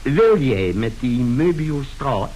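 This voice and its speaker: A man's voice: medium pitch, big pitch swings, slow, warm tone, poor audio. An actor playing a domineering, shrewd industrialist father, curt and commanding, in a radio play. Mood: businesslike, commanding. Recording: hissy old radio recording.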